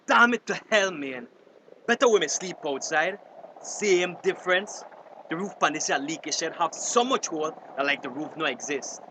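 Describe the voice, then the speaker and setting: A man's voice: a little high, animated, moderate pace, close to an everyday voice. A man playing a worried, indignant, complaining fisherman in a radio drama, with a Belizean Creole accent. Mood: grumbling. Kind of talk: radio drama dialogue in dialect. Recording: drama over clear vehicle-like background noise.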